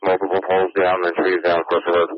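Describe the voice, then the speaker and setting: A man's voice: low voice, monotone, fast talker. A man reporting as a fire officer from the field over a two-way radio, terse and matter-of-fact. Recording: noisy, dull lo-fi scanner radio.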